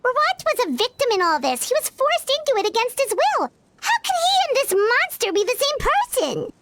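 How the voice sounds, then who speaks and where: An actress voicing a tiny, excitable flying female companion who talks about herself in the third person. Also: extremely high pitch, moderate pace, background noise. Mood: indignant. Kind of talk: video game voice acting.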